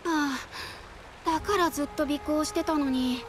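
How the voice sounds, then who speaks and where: Woman playing a high-voiced, starry-eyed young admirer. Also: fairly even intonation, moderate pace, background noise, natural voice. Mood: admiring. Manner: polite, gushing, earnest. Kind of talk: Japanese video game voice acting. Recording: loud music behind the voice.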